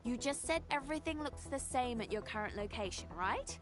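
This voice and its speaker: An actress as a composed, high-voiced young woman agent. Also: lively intonation, a little fast, lightly acted. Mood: reassuring, firm. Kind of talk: video game voice acting. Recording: game music behind the voice.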